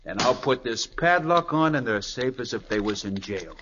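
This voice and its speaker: An actor playing a gruff, slangy crook henchman in a 1940s radio drama, with a husky voice. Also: mid-range voice, animated, moderate pace, background noise, natural voice. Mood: smug. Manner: slangy tough-guy talk. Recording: muffled 1940s radio recording.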